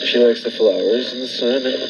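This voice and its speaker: A man's voice: mid-range voice, fairly even intonation, a little fast, lightly acted. A man playing a menacing, deranged murderous husband in a 1940s-style radio thriller, folksy, insistent and coolly sinister. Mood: calmly sinister. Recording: dull lo-fi radio-play audio, clear noise.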